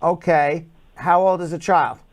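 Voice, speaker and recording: Man, call-in show host, gravelly, slightly high voice, blunt, brisk. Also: fairly even intonation. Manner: brisk, blunt, matter-of-fact advice. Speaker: immigration lawyer hosting a call-in show. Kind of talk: live call-in advice show.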